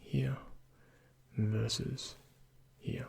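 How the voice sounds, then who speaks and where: A man speaking softly and breathily in an ASMR roleplay as a doctor, giving gentle, courteous, unhurried instructions to a patient, close to the microphone. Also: on the low side, flat delivery. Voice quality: breathy, often near-whispered. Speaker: ASMR performer playing a doctor. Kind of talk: soft-spoken ASMR medical roleplay, addressing the listener as a patient.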